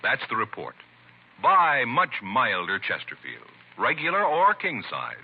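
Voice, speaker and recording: A man's voice: medium pitch, huge pitch swings, a little slow, slightly rough. A man reading a 1950s radio advertisement as an announcer, with emphatic sales delivery on a muffled vintage recording. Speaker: commercial announcer.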